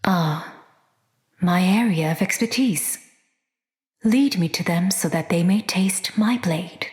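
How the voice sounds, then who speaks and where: A fierce monster-slaying sorceress in a video game, her voice lowish and unhurried. She talks in a cold, measured, formal way, savoring each word. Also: roomy sound. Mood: menacing.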